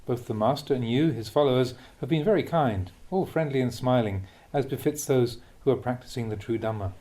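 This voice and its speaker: A man reading a Buddhist Dhamma talk aloud as a monk, in a measured, expressive way with emphatic stresses. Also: mid-range voice.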